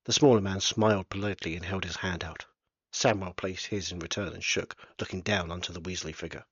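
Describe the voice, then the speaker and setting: Deep husky guy reading his own book, plain unpolished delivery, dry and dull sounding. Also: fairly even intonation, fairly quick, fairly bright tone, no room sound. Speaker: author reading his novel. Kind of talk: storybook narration.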